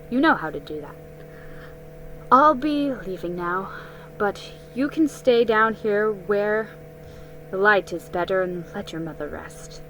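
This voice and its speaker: A kindly storybook shopkeeper, read by a woman, practical and gently instructing. She speaks slowly in a medium-pitched woman's voice. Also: low-quality recording.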